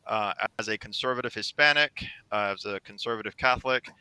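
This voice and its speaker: A man's voice: on the low side, brisk, smooth, dry recording. A man from the United States giving an earnest introduction of himself as a school board candidate. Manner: earnest, steady, a few fillers. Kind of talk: campaign introduction.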